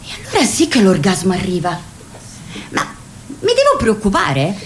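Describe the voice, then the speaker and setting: A woman's voice: medium pitch, huge pitch swings, normal speed, a little hiss or hum. An actress playing a frank, earnest woman confessing in group therapy, in a radio theatre style.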